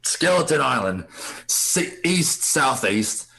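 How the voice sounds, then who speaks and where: An actor playing a wily old pirate ringleader in a live radio play, with gravelly, folksy seafaring speech. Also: male voice, medium pitch, big pitch swings, slow, bright, forceful tone, exaggerated voice, rough recording. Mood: musing, commanding.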